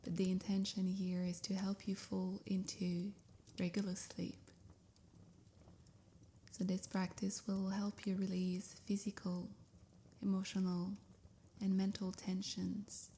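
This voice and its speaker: A woman softly guiding a sleep meditation in a breathy near-whisper, with soothing, measured phrasing. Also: mid-range voice, completely flat delivery, a little hiss or hum. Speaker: meditation guide. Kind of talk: guided sleep meditation, scripted.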